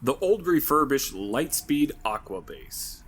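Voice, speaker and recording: A man's voice: on the high side, very animated, slow, fairly bright tone, exaggerated voice. A man voicing a seasoned ranger leader, plain-spoken and direct. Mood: steady.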